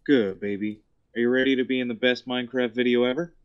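A man's voice: mid-range voice, fairly even intonation, quick pace, clear, resonant tone. A smarmy, cooing man talking and presenting casually over a lo-fi voice call. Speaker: caller. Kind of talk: casual call talk and vlog-style presenting. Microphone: voice chat call. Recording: dull lo-fi voice call.

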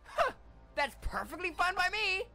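A woman's voice: extremely high pitch, sing-song, unhurried, bright, forceful tone, soft background music, full character voice. A woman voicing a proud young male squire pony in a cartoon, with a teasing, defiant manner. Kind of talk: animated cartoon voice acting.

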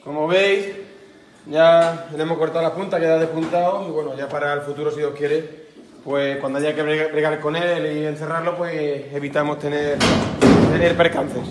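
A man in his thirties with a Spanish accent from Spain explains a practical job informally, in a slightly high voice. He is recorded in an echoey farm shed, with clear noise around him. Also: little pitch movement, moderate pace. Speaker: cattle breeder demonstrating horn care. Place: cattle handling pen with metal chutes.

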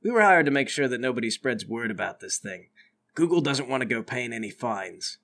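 A man's voice: medium pitch, sing-song, a little fast, gravelly, a bit bright, voice pushed down. A man voicing a stolid hired guard in a tabletop game, curt and matter-of-fact. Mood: firm, unbothered.

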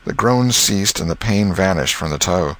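A man's voice: low-pitched, expressive, normal speed, a bit bright. A man reading a literary story aloud as an audiobook narrator, in a scripted storytelling style.

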